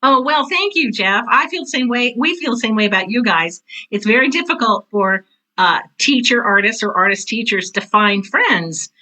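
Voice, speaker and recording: A woman's voice: on the high side, animated, normal speed, pushed and bright, rough recording. A woman in her sixties chatting as an interview guest, telling stories in a casual, emphatic way. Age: 60s. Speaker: interview guest, retired theater teacher and director. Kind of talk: casual conversational storytelling.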